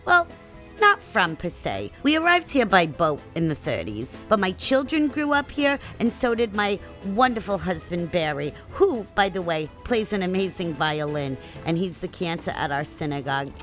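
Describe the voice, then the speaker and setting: A woman's voice: on the low side, big pitch swings, normal speed, fairly bright tone, soft background music. A woman playing a chatty older New York grandmother with a New York accent who hosts a neighborhood watch and kvetches about her family. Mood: gossipy. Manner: gossipy, kvetching.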